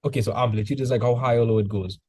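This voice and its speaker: Chill dude teacher, mellow voice, lots of "like", asking the kids stuff, dry close sound. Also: male voice, mid-range voice, steady tone, rapid-fire, slightly rough, dry recording. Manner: loose, filler-heavy, quizzes students. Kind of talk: casual tutoring session.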